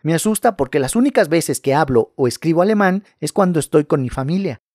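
Higher-voiced man telling eerie tales. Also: expressive, a little fast, a little mellow, no room sound, studio quality. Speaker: narrator. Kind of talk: scripted narration of scary stories.

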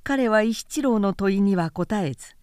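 A woman narrator reading literary fiction aloud in a measured, expressive storytelling manner. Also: medium pitch, smooth, no echo, clean studio sound.

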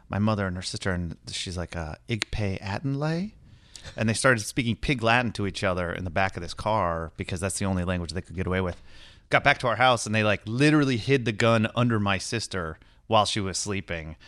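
A man's voice: fairly low pitch, fairly bright tone, no echo. An American man you'd hear as the guest on a podcast, spinning wry, stop-and-start anecdotes in a clean voice, close and dry on the mic. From Northern California, United States. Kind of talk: casual anecdotal storytelling.

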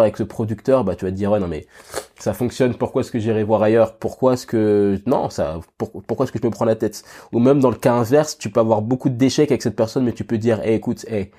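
A man hosting a solo podcast while he has a cold and sniffles now and then. His voice is mellow and dark in tone, at a middle pitch. He thinks aloud with fillers and restarts and keeps talking straight to the listener. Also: slightly rough. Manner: addresses listener directly with "tu vois". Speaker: podcast host. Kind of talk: casual solo podcast talk.

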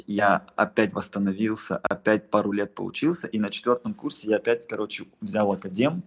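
A man's voice: on the low side, steady tone, a little fast, husky. A young man from Russia giving casual, rambling, slangy answers as an interview guest, often restarting his sentences. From Russia, Altai region. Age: young adult. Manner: rambling, slangy, frequent false starts. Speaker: interview guest, beatmaker and music producer.

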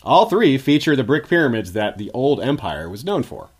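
A man's voice: mid-range voice, sing-song, moderate pace, breathy edge. A man speaking as a guest history expert, giving scripted, articulate explanations in an informative lecturing manner.

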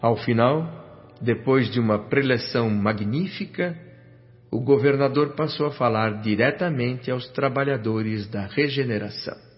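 A man's voice: mid-range voice, a little slow, natural voice. Actor as reverent male narrator, muffled recording. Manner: solemn, reverent, literary delivery. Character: reverent male spirit recalling his afterlife experiences. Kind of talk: scripted radio drama narration. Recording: dull lo-fi radio sound with music. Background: music.

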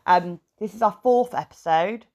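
A woman's voice: mid-range voice, normal speed, a little mellow. A woman with a sore throat, hosting a casual true crime podcast and telling the case with emotion. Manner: chatty, tells the case with emotion. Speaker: podcast host.

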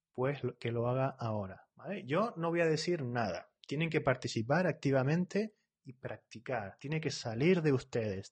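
Husky-voiced man teaching live. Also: mid-range voice. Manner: talks quickly, often checks in with "¿vale?" and "¿eh?"; reads out and corrects viewers' comments. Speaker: Spanish teacher. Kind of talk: live online lesson.

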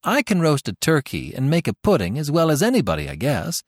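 A man's voice: a little high, very animated, moderate pace, no echo, studio quality, pitched above the performer's own voice. A man voicing a bossy, spirited young farm girl who takes charge of the kitchen. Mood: eager. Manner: commanding, spirited.

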